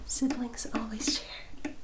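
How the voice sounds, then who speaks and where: A gentle elder vampire sister of high society, acted by a woman for an ASMR roleplay. She mostly whispers, with very unhurried delivery. Her wording is formal and her manner is tender and soothing, as if caring for a younger sibling. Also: lightly acted. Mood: gentle, generous. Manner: slow, soft, formal and soothing, addressing listener directly.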